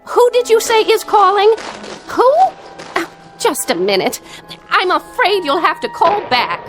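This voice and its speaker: A fed-up middle-aged wife in a radio drama. She is sardonic and emphatic, with a high voice that rises and falls sharply in exasperation. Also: very animated, unhurried, music in the background, heavily acted. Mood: straining, irritated.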